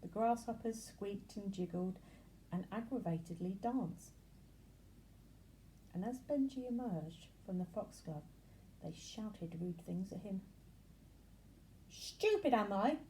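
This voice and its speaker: A woman reading a children's story aloud in an expressive narrating style. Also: medium pitch, a little slow, long pauses, slight background noise, poor audio. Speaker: storybook reader.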